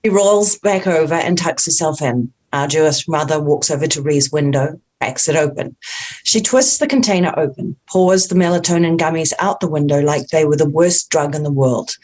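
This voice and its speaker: A woman's voice: deep voice, normal speed, darkish tone. A woman reading the stage directions at a script table read.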